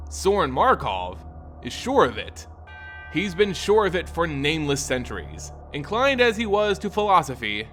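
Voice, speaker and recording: A man narrating a scripted fantasy story in a theatrical, measured, dramatic way. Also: fairly high pitch, a bit bright, slight background noise. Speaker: lore channel narrator. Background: background music.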